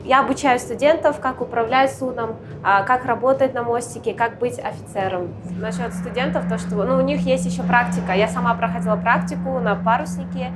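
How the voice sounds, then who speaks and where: A woman instructor with a fairly high voice explains things to the camera in short, simple phrases. She pauses a little as she goes. Music plays clearly behind her. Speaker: maritime academy simulator instructor. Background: clear background music.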